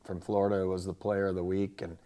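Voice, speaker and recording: A man with an American accent, a football coach talking casually through a game recap in a plainspoken, halting way. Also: low voice, flat delivery, mellow. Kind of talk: casual sports recap and highlight commentary.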